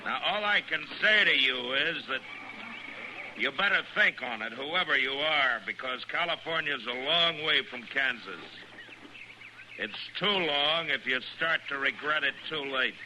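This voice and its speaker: Through clear vintage radio noise comes a steady American frontier marshal played by a male actor. His voice sits at a middle pitch. He speaks plainly, with folksy, measured authority, as in an old radio Western. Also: lightly acted. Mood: grave, earnest. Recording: noisy vintage radio recording.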